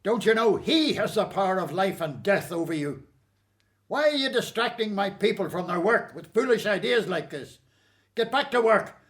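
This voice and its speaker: High-pitched, haughty Pharaoh. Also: male voice, expressive, moderate pace, a bit bright. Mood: angry, commanding. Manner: imperious, dismissive, demanding. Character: haughty, irritable male Egyptian Pharaoh. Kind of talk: radio drama acting.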